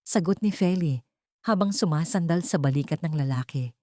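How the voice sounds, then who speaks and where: A woman with a Filipino accent narrating a scripted dramatic story in a measured, sentimental, literary way. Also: deep voice, expressive, dark tone, no room sound, studio quality.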